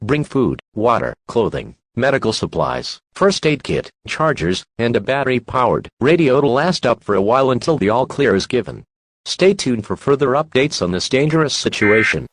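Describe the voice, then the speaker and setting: A man's voice: medium pitch, sing-song, moderate pace, no room sound. A man's synthetic-sounding voice reading scripted emergency alert messages as a formal announcer.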